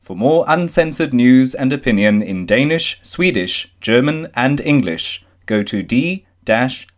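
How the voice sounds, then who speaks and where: A man reading a news article aloud as scripted narration, in a measured, formal manner. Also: medium pitch, lively intonation.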